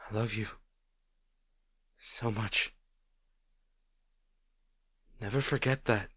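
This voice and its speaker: A man playing a gentle, protective boyfriend in an intimate roleplay audio, speaking in a tender, reassuring way. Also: on the low side, steady tone, extremely slow, husky, no room sound, clean studio sound, heavily acted. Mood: tender, soothing. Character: gentle, protective boyfriend comforting a distraught partner.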